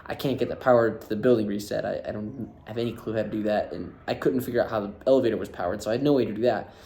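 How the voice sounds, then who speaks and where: An American man in casual storytelling, animated and laughing as he acts out what people said. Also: on the low side, rapid-fire. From United States. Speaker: vlogger.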